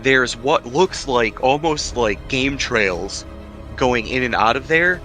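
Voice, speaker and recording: A man narrating a tabletop roleplaying game as game master, improvising descriptions in a conversational, halting way. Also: mid-range voice, animated, bright, forceful tone. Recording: music playing behind the voice.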